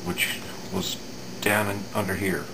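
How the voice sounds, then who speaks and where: Man, middle-aged, creaky voice, unhurried, matter-of-fact, halting, hands-on repair demo, indoor workbench. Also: sits low. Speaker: electronics repair demonstrator. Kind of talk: unscripted hands-on repair demonstration. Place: indoor home electronics workbench. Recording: steady room hum, air-conditioning noise.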